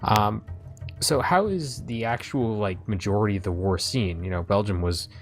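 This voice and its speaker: Low, creaky-voiced man hosting. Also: normal speed, faint music behind. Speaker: host of a game let's play. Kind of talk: casual gameplay commentary.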